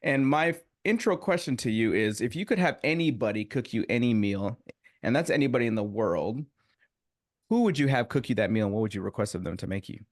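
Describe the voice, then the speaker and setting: A man's voice: medium pitch, expressive, fairly quick. Male podcast host, casual and friendly, asks easygoing questions. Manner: casual, often says "kinda". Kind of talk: podcast interview.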